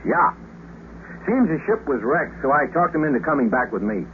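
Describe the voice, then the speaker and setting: An actor playing an easygoing, stubbornly generous American astronaut in a 1950s radio drama, with a breezy, wisecracking delivery. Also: male voice, a little high, very animated, moderate pace. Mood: upbeat, earnest. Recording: muffled, hissy 1950s radio recording.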